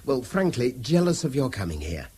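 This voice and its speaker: A British male schoolteacher in a radio drama. His voice is slightly rough and very animated in pitch, his wording formal and exact, his temper strained. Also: moderate pace. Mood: tense, grim.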